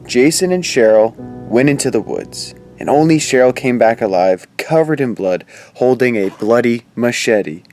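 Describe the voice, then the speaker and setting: A man's voice: medium pitch, darkish tone. An actor playing a wry, skeptical teenage boy who talks in casual, sardonic slang in an audio drama. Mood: insistent. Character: wry, pop-culture-quoting skeptical teenage boy sidekick. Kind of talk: conversational audio drama acting.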